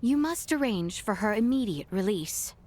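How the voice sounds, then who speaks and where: A woman playing a poised, determined young princess in a video game, speaking in formal, courtly, measured diction. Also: medium pitch, expressive, a little mellow, natural voice. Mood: composed, firm. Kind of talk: scripted video game acting.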